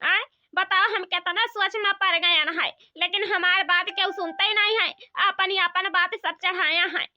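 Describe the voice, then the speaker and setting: A woman's voice: very high voice, fairly even intonation, moderate pace, bright, forceful tone, heavily acted. A woman playing an aggrieved, complaining village woman in a comic cartoon, with an Awadhi accent. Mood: exasperated. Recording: dull lo-fi cartoon soundtrack.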